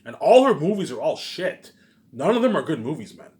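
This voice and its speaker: A man's voice: on the high side, sing-song, a little fast, darkish tone. A man giving a casual, unscripted monologue as a vlogger, speaking emphatically and slangily, repeating himself and letting phrases trail off into asides. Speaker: solo online vlogger.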